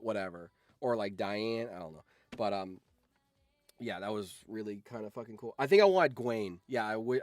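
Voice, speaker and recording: A man's voice: on the low side, no echo, clean studio sound. A man chatting casually on a livestream, telling anecdotes in an informal, sweary, enthusiastic fan's way. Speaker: streamer and voice actor.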